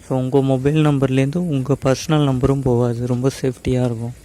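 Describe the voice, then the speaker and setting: Male tech tutorial host, mid-pitched and relaxed, casual step-by-step explaining with English words mixed in. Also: a little fast. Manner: explanatory, addresses viewers directly, mixes in English words.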